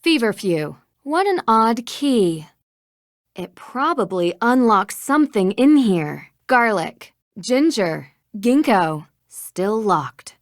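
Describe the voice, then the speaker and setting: A woman's voice: on the high side, very animated, slow pace, dry recording, studio quality, heavily acted. A woman playing a cheerful young female detective in a video game, eager and inquisitive. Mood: curious, focused.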